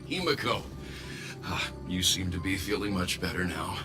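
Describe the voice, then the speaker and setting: An actor playing a steady, caring middle-aged mentor in a video game, speaking in a measured, considerate way. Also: male voice, low voice. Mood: kind, relieved. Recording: loud music under the voice.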